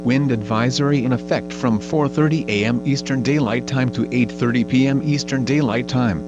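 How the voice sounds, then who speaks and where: A synthetic man's voice reads an automated weather bulletin as a radio announcer, in a formal, measured, impersonal way. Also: mid-range voice, over music. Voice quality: synthetic text-to-speech voice. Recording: dull lo-fi radio sound with mains hum.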